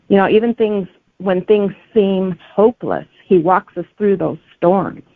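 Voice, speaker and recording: A woman author gives an earnest, conversational interview answer. Her voice has a gravelly creak, and it comes through a dry, thin phone line. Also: mid-range voice, unhurried, no echo.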